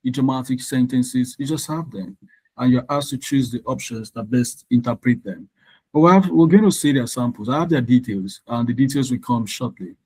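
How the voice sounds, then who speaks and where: A man's voice: medium pitch, warm tone, poor audio. A man from Nigeria whose first language is Yoruba, teaching an online exam-prep lesson, explaining patiently and spelling words out letter by letter. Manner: frequent "all right" checks with learners. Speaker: tutor.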